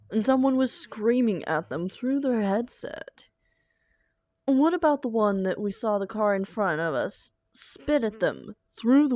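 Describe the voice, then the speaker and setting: A woman playing a doting, defensive supervillain lover in an intimate roleplay audio drama, coaxing theatrically with endearments and dramatic pauses. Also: medium pitch, dark tone, dry recording.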